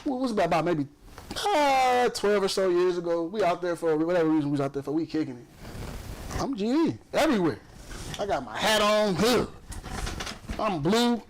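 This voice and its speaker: A man's voice: sits high, lively intonation, normal speed, slight background noise, low-quality recording. A young man from the south side of Chicago with a Chicago accent, hosting a podcast in loose, profane, boastful casual chat full of fillers. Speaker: podcast host.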